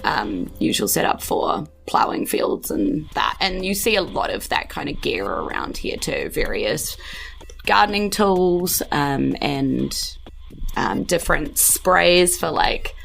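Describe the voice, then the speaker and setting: Low-voiced New Zealand woman narrating a game. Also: very animated, slow, vocal fry. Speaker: game master. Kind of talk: improvised tabletop game narration.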